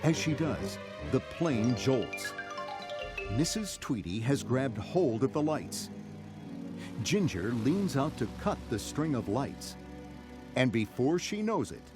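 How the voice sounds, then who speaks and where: A man narrating a children's read-along story in a dramatic, measured storytelling manner. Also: mid-range voice, sing-song. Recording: music behind the narration.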